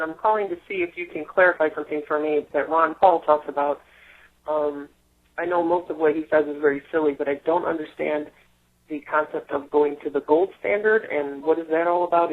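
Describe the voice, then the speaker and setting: A man leaving a casual question as a listener's voicemail over a tinny phone line. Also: on the high side.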